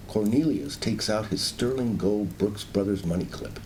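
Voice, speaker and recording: A man's voice: lowish voice, normal speed, a little mellow, slight background noise, rough recording. A man giving scripted narration of stage directions in a radio play.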